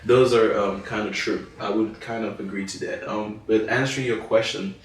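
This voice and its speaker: A man's voice: lowish voice, fairly even intonation, moderate pace. A man from Cameroon, a French speaker, co-hosting a casual discussion in an earnest, thoughtful way. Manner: earnest, thoughtful, with many you-knows and restarts. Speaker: podcast co-host. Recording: echoey room, far from mic.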